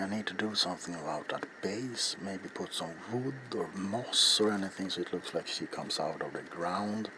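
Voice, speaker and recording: A middle-aged man speaking softly in ASMR style as he demonstrates a craft, halting and often slipping into a whisper. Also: low voice, background noise. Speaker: ASMR video maker and host. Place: small cluttered indoor workshop.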